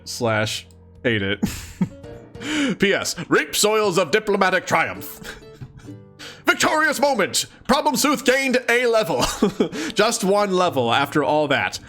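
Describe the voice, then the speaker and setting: A man hosting a let's-read, reading aloud expressively with casual, giggly commentary. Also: sits high. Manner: giggly, expressive, dramatic reading mixed with chatty asides. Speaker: host of a live let's-read of a webcomic.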